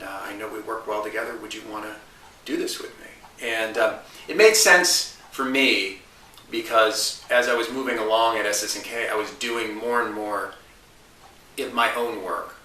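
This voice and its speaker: Man in his forties, bright and roomy. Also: on the low side. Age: 40s. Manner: thoughtful, restarts phrases, rises in emphasis. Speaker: interview guest, marketing consultant. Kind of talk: reflective interview answers. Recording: roomy, distant mic, faint hiss.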